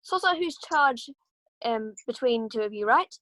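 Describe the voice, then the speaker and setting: A woman's voice: a little high, expressive, unhurried, a bit bright, dry recording, poor audio. A woman playing a blunt, no-nonsense male army sergeant who handles horses, in an amateur script read-through.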